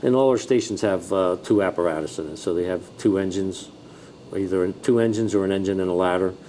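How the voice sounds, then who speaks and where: A middle-aged American man, a fire chief, answering interview questions in a plain-spoken, matter-of-fact, conversational way. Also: quick pace. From New Jersey, United States. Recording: steady background noise with low rumble.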